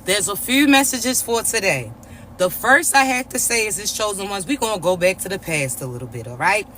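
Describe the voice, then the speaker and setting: A man with a raspy, congested voice, giving a spiritual reading to his viewers in a slow, repetitive preaching way, often checking in with his listeners. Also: high voice, animated, sharp tone, a little hiss or hum, low-quality recording. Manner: slow, repetitive preaching with frequent "all right?" check-ins. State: congested, stuffy nose. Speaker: spiritual reader and coach.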